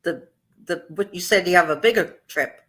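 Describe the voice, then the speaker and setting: A woman's voice: fairly low pitch, moderate pace, a bit bright, husky. A woman chatting on a livestream, asking her friends question after question and laughing often. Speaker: livestream co-host. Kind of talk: casual chat.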